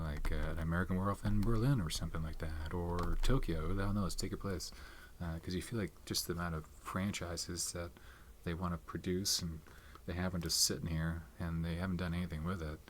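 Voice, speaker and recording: A soft-spoken man in his thirties hosting an ASMR channel, rambling casually about movies with many fillers and some whispered words. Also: sits low, fairly even intonation, fairly quick, hardly any pauses, slight background noise. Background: tapping and keyboard clicks.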